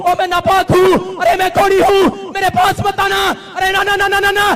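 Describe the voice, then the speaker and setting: A sobbing, terrified male leper , as a preacher puts him on, crying out in a voice pushed even higher than the preacher's already very high voice. He wails and begs in loud, strained shouts, pleading for someone to keep away. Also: moderate pace, some chatter behind. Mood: panicked pleading. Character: desperate crying male leper begging not to be approached. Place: stage at a night prayer meeting.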